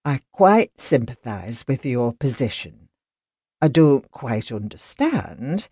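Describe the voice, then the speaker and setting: A woman voicing a calm, sensible housekeeper. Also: a bass voice, takes it slowly, slightly rough, no echo, studio quality, heavily acted. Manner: calm and polite.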